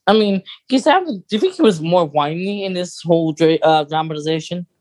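A podcast co-host in casual film discussion, talking haltingly and thinking out loud. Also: mid-range voice, expressive.

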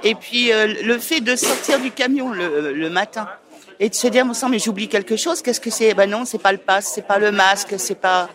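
A woman from near Bayonne answering festival interview questions in a cheerful, halting way. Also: medium pitch, fairly bright tone. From near Bayonne, France. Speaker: festival attendee. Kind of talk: casual vox-pop interview.

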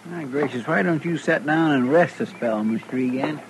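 A man's voice: mid-range voice, normal speed, close to an everyday voice. A man acting in a 1950s radio western as a folksy, deferential deputy sidekick with a fretful, drawling manner. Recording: noisy vintage radio recording.

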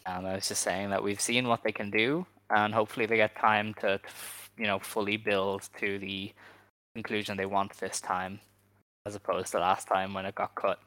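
Relaxed male podcast host with a low voice, hesitant and chatty, recorded dry and close. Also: normal speed, no room sound, rough recording. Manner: relaxed, hesitant, many ums. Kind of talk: casual chat.

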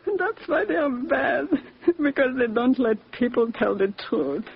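An actress playing a grieving, drowsy old mother, weak and tearful, with a husky, creaky voice in an old radio drama. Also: high voice, expressive, unhurried, full character voice. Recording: muffled old radio broadcast.

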